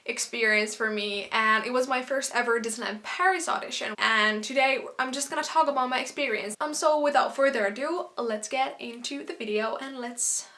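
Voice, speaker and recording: Chatty young Finnish woman vlogger. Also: on the high side. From Finland. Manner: chatty and animated, quick asides and quoted exclamations. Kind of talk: casual storytime chat.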